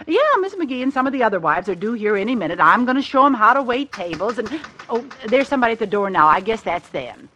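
A woman's voice: on the high side, lively intonation, fast talker, few pauses, a bit bright. A woman playing a brash, wisecracking but kindly diner waitress in a 1950s radio comedy, with a sassy, exaggerated, teasing delivery. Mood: sassy, exasperated.

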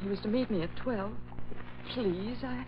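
A woman's voice: medium pitch, moderate pace, lightly acted. An actress playing a sweet, polite young woman in an old-time radio drama. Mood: pleading, flustered. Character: sweet, pleading young woman, a reporter's girlfriend. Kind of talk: 1940s radio acting. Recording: muffled, noisy old radio recording.

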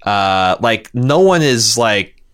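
A man with a fairly low voice hosts a casual discussion podcast. He thinks aloud, rambling and doubling back on his words as he works through an opinion or reads out feedback. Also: lively intonation, fairly bright tone, clear, resonant tone. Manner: halting, rambling, repeats words as he reasons. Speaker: podcast host reading listener feedback.

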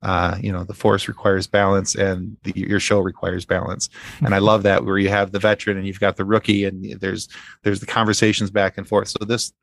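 A man's voice: low-pitched, flat delivery, fairly quick, vocal fry, no echo. A man talking casually as a guest on a podcast, earnest and effusive.

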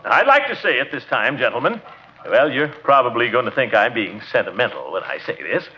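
A man playing a pompous, sentimental middle-aged American small-town official in 1940s radio comedy, with blustery, halting speechifying. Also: medium pitch, sing-song, a little hiss or hum, close to an everyday voice. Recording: dull old radio recording.

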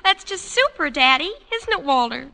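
A woman's voice: high-pitched, sing-song, moderate pace, vocal fry, bright, forceful tone, exaggerated voice. An actress playing a perky, gushing, boy-crazy teenage daughter in an old radio sitcom. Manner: gushing, girlish. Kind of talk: 1940s radio sitcom acting. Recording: old radio recording with hiss.

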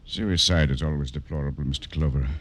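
Very deep, husky solemn priest. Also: male voice, steady tone. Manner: formal, halting. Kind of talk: 1950s radio drama acting.

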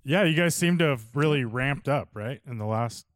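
A man's voice: mid-range voice, lively intonation, moderate pace, dry recording, clean studio sound. A man co-hosting a casual podcast, recalling things in a meandering, hesitant way.

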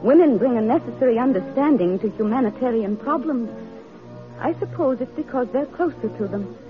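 A woman's voice: on the high side, animated, a little fast, close to an everyday voice. An actress playing a principled, determined woman social reformer in a radio drama, speaking earnestly and firmly. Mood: thoughtful. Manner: earnest, firm, declarative. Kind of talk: vintage radio drama acting. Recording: muffled vintage radio, music behind.

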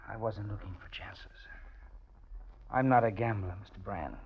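A weary, despondent man in an old radio drama. His low voice is creaky and rough, with halting, resigned phrasing. The sound is muffled and dated. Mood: bitter, resigned. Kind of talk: 1940s radio drama acting.

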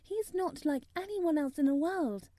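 A woman's voice: high voice, expressive, normal speed, mellow, no echo, voice pushed up. A woman voicing a frank, self-willed young girl who speaks earnestly and candidly in a storybook reading. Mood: wondering, eager.

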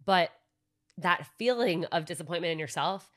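A woman's voice: fairly low pitch, lively intonation, normal speed. A young woman co-hosting a podcast, talking in a reflective, reassuring way and building long points in casual chat. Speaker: podcast co-host.

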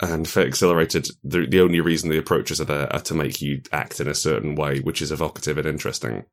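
Deep voiced guy walking players thru character creation, jokey and laughing easy. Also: fairly even intonation, quick pace. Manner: explanatory, playful, laughs easily. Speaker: game designer guiding character creation. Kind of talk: casual tabletop chat.

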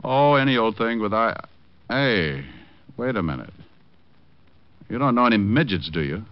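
Wisecracking private eye, lively and lowish. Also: male voice, normal speed, smooth, dry recording. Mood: flirty, distracted. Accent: American. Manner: glib, teasing. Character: wisecracking, flirtatious male private detective, cocky and breezy. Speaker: radio drama actor, lead and narrator. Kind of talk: old-time radio detective acting and narration. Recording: muffled old radio recording.

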